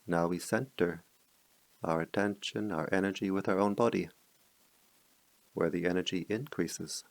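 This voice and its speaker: A middle-aged man, a meditation teacher, guiding a slow, calm meditation in a softly spoken voice with long pauses. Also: dry recording, low-quality recording. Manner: chants Pali verses in a low murmur. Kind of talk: guided meditation.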